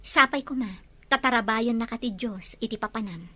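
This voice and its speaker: A woman's voice: fairly high pitch, a little fast, bright, forceful tone. A woman playing a composed, devout wife and mother in an Ilocano audio drama. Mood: guarded, forgiving.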